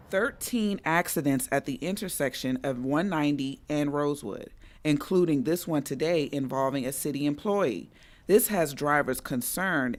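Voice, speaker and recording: A woman's voice: low voice, a little hiss or hum. A woman working as a local television reporter, reading scripted news in a broadcast reporting style.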